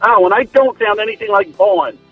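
A man's voice: sits high, a little fast, husky, dry recording, soft background music. A man with a South Jersey accent leaving a casual, emphatic, opinionated voicemail over the telephone. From South Jersey, United States. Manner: emphatic, explanatory. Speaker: listener calling in. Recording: tinny phone voicemail, narrow band.

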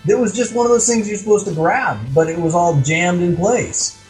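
High-pitched man, wry exasperated podcast storyteller. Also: moderate pace, soft background music, rough recording. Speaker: podcast co-host. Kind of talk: casual comic anecdote and banter.

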